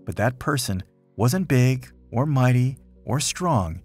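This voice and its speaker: A man reading a rhyming children's picture book aloud in an expressive, dramatic storytelling manner. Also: medium pitch, slow pace, breathy edge, faint music behind. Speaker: storybook reader.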